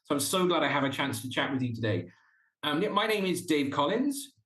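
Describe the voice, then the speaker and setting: A man's voice: fairly high pitch, big pitch swings, brisk, fairly bright tone, reverberant, low-quality recording. A man from South Wales with a Welsh accent, a podcast guest speaking earnestly and often restarting his sentences. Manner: earnest, with frequent restarts and stumbles. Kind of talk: casual interview chat.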